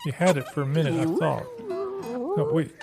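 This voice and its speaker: A man playing a fussy, halting husband who gives fretful, stammering instructions in an improvised comedy sketch. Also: sits high, wildly swooping pitch, gravelly, over loud music, heavily acted. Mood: fretful, insistent. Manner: fretful, stammering, self-interrupting. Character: fussy, halting husband coaching someone on tuning an old TV.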